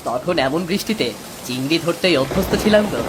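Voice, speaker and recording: A man's voice: on the high side, expressive, brisk, noisy background, natural voice. A man playing a patient, pious village father and poor farmer in a cartoon, with a homely manner. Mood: reassuring.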